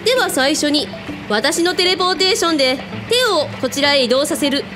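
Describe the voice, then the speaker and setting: A woman acts out a radio drama leader with a high voice and a brisk, commanding manner. She is casual and a little teasing, with music playing behind her. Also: animated. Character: commanding, teasing female hotel owner with psychic powers.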